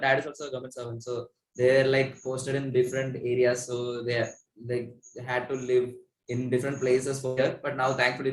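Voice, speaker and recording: Young South Indian man, echoey room. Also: medium pitch. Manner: halting, filler-heavy with many "like". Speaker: pop musician, interview guest. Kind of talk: casual chat.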